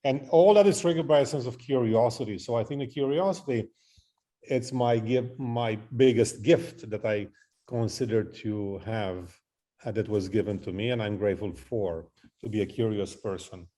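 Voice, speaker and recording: A middle-aged man, answering interview questions in a halting, reflective way and often listing things out. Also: on the low side, warm tone. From Romania. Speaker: interview guest, artist and photographer. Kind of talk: interview conversation.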